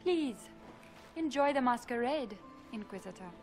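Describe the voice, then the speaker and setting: A gracious court lady in a fantasy game. Her voice is high and her manner formal and courtly. Faint music runs behind her. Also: expressive, measured pace, a little mellow. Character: gracious, formal female courtier speaking for an Empress. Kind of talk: fantasy game cutscene acting.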